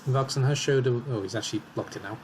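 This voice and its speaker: A man commentating his own match in a calm, analytical way as he talks through each move, slightly hoarse. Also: mid-range voice, fast talker, a little hiss or hum. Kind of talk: casual game commentary.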